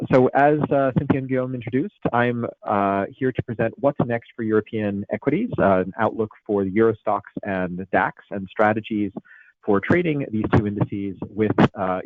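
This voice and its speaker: A man's voice: lowish voice, normal speed, dry recording. A man with an American accent presenting a finance webinar over a video call, with frequent fillers and restarts. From Italy. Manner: many fillers and restarts, conversational lecturing. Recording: tinny phone-like line, dry.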